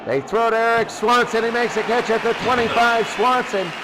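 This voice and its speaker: A man calling football play-by-play as a radio announcer, in short clipped phrases that he often repeats. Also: moderate pace. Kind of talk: American football radio commentary. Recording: noisy recording with vehicle-like rumble.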